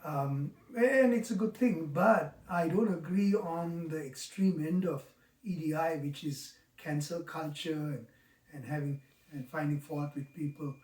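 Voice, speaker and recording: A man in his sixties with a Sri Lankan accent gives a reflective, explanatory talk in an earnest, halting manner, stressing key words. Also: a little high, a little mellow. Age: 60s. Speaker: mindfulness teacher. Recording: roomy, echoing, distant microphone.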